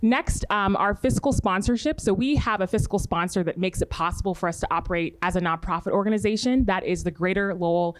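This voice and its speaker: A woman's voice: mid-range voice, brisk, hardly any pauses. Friendly woman host reading an introduction. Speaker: host of an arts interview series. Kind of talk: reading introductions and asking questions.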